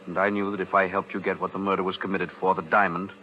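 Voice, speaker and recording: Man playing a deep-voiced, composed radio lawyer. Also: level tone, fast talker, lightly acted. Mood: calm resolve. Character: composed, principled male lawyer, quietly resolute. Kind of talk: 1940s radio thriller acting. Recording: muffled, noisy vintage radio broadcast.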